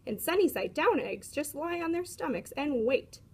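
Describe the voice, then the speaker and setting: A woman's voice: high voice, animated, moderate pace, darkish tone, pitched above the performer's own voice. A woman voicing a stubborn, curious young girl in a storybook, singsong and matter-of-fact. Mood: matter-of-fact, picky.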